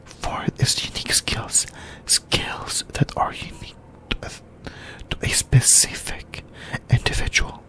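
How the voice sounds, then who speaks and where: An ASMR host delivering an explainer in a breathy, unvoiced whisper, with halting, deliberate phrasing in short bursts. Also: sharp tone. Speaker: host of an anime discussion video.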